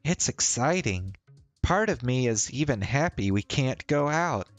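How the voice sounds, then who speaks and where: A man's voice: mid-range voice, expressive, measured pace, no echo, faint music behind, voice pushed up, natural voice. A man voicing an upbeat, casual young woman in a visual novel. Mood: excited.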